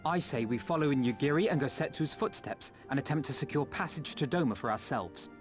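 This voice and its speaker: An earnest, resolute adventurer from a video game, played by a man. He talks fast and articulately at a medium pitch, decisive throughout, with clear music behind him. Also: animated, natural voice. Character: earnest, resolute male adventurer proposing a plan. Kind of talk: video game character acting.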